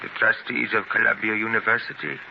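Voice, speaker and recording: A man acting in a 1930s radio drama as a proud, ailing, half-blind newspaper publisher, speaking forcefully and emphatically. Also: lowish voice, fairly even intonation, brisk, vocal fry, muffled recording. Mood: earnest, idealistic. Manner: declamatory, emphatic. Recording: scratchy, noisy 1930s radio transcription.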